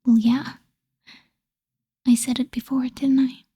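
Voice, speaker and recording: A woman voicing a possessive, lovesick female vampire in an intimate, breathy ASMR roleplay whisper, pleading and tender yet menacing. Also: high-pitched, level tone, mellow, no echo, full character voice. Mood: wistful, confiding. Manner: slow, pleading, intimate delivery.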